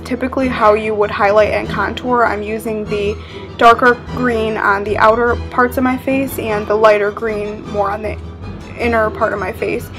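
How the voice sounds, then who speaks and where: A young woman in her twenties casually talking through a makeup tutorial with frequent fillers. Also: on the high side. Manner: casual, chatty, relaxed with frequent "um" and "like". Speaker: makeup vlogger. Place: living room with red couch.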